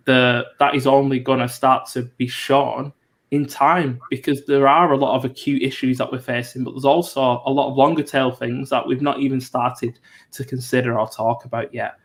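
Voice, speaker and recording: Flat-voiced male host, rambling questions. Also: mid-range voice. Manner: rambling, many "you know" fillers. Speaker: show host. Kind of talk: interview questions.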